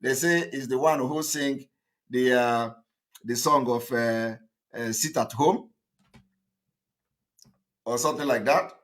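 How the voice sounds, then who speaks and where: A man's voice: medium pitch, fairly even intonation, slow pace, pauses often, a bit bright. A man with a Nigerian accent giving an impassioned political monologue, emphatic and defiant, often repeating his phrases. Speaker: political activist broadcaster.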